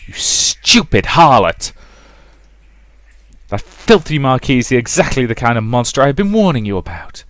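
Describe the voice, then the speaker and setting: A man voicing a stern, bitter, vengeful mother in a visual novel, commanding and deliberate. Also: mid-range voice, a bit bright, dry recording, voice pushed up. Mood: furious.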